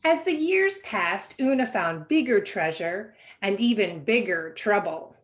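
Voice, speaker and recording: A woman reading a children's picture book aloud in an expressive, animated way with dramatic pauses. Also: medium pitch. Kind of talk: expressive children's storybook narration.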